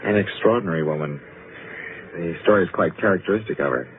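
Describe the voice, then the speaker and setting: An actor playing a thoughtful, formal club gentleman in an old radio drama, speaking in a measured, slightly hesitant way. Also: sits low, natural voice. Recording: tinny, hissy old radio recording.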